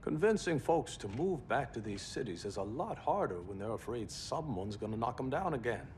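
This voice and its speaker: An actor playing a frank, earnest adviser who persuades with a gently teasing touch in a game cutscene. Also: male voice, sing-song, moderate pace, close to an everyday voice. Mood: earnest, concerned. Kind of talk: video game cutscene acting. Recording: background rumble and noise.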